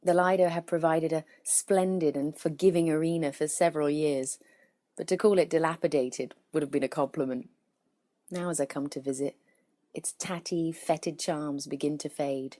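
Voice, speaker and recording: A woman narrating scripted literary fiction in a measured, storytelling way, as a voice-over artist. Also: low voice, fairly even intonation, mellow, no echo, studio quality.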